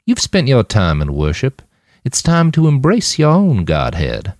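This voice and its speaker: A man's voice: mid-range voice, wildly swooping pitch, a little slow, darkish tone, no echo, studio quality, pitched above the performer's own voice. A man voicing a charismatic, amiable male tempter in an audiobook, grand and persuasive in manner. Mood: grand coaxing. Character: Jim, charismatic amiable man tempting a follower toward godhood.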